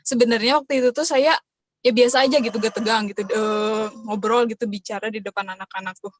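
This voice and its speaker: A woman's voice: a little high, fairly even intonation, fast, no echo. A young woman from West Java, Indonesia, asking a question hesitantly over a video call, with many fillers.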